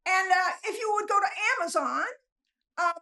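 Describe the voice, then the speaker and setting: Woman w/ a really high voice, casual interview guest, pokes fun at herself. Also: normal speed, sharp tone. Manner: self-deprecating, joking. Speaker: guest novelist. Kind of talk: casual chat.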